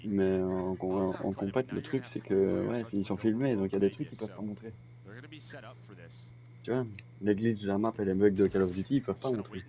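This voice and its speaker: Young Belgian male gamer, quick and blunt, low voice, lively voices around him. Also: a crowd in the background. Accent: Belgian French. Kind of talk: casual gaming stream chat.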